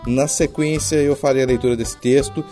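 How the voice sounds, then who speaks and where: A man's voice: medium pitch, steady tone, moderate pace, dark tone, faint music behind. A man with a Brazilian accent, hosting a podcast with scripted, literary narration. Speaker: podcast host.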